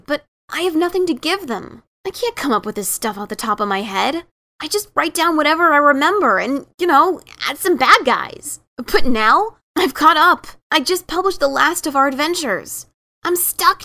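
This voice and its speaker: An adventurous pegasus pony author, played by a woman in a fan audio drama. The voice is high and forceful with a crackly edge. She is emphatic and defensive, and stumbles when things get awkward. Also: animated, normal speed, full character voice. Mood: awkward, pleading. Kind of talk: fan dramatic reading of a story.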